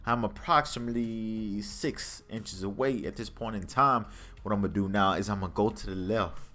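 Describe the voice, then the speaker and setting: A man with a deep voice giving a scripted product review from home, casually addressing his viewers as y'all, recorded on a budget USB desk mic. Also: fairly even intonation, normal speed, pushed and bright. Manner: casual, calls the audience y'all. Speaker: tech reviewer. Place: home room with carpet and a PC. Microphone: budget USB condenser desk mic.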